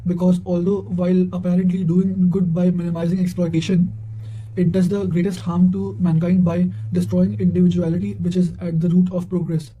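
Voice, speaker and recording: A man with a high-pitched voice reads quotations and notes aloud. His tone is very dark and mellow, and his intonation stays quite even. The delivery is formal and a little halting, like someone working through a written text. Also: lo-fi sound. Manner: formal, halting reading with occasional stumbles. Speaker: narrator reading study notes.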